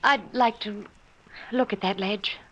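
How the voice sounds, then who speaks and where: A woman's voice: mid-range voice, fairly even intonation, measured pace, fairly bright tone, highs cut off. A woman playing an anxious, suspicious new wife in a 1940s radio drama, speaking hesitantly and faltering over her words. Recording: noisy old-time radio recording.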